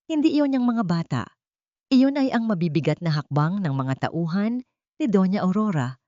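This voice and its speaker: A woman's voice: medium pitch, lively intonation, moderate pace, mellow, no room sound, highs cut off. A woman narrates a scripted dramatic story in a solemn, theatrical manner. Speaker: audiobook narrator.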